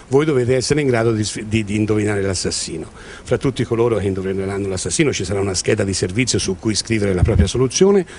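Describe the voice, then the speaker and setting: A man's voice: fairly low pitch, a little mellow. A man gives informal interview answers into a handheld microphone, sometimes repeating words as he searches. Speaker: theatre company member being interviewed. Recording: clearly noisy room ambience.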